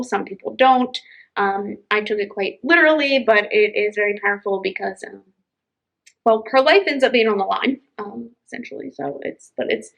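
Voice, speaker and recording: A woman's voice: medium pitch, a bit bright, low-quality recording. She is a woman with a mid-range voice, co-hosting an informal book recommendation chat. Her delivery is conversational and effusive, full of open enthusiasm for what she recommends. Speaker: library podcast co-host.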